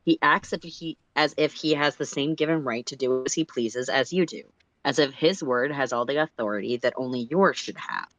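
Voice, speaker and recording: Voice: medium pitch, normal speed, a bit bright, no room sound, low-quality recording. Storyteller with a dry, close sound. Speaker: narrator reading a story aloud on a livestream. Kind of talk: expressive storytelling narration.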